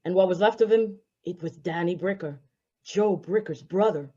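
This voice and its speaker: A woman's voice: on the low side, normal speed, dark tone, lightly acted. A woman plays a plain-spoken cop in a radio drama. The delivery is a matter-of-fact report to a superior. The sound is slightly dull and lo-fi. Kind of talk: old-time radio drama acting.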